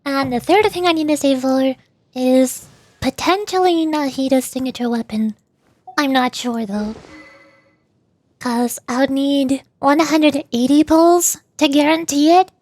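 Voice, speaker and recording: A woman hosting a casual gaming livestream, chatting in an animated, playfully whiny way. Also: sits high, unhurried, no room sound. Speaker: VTuber livestream host.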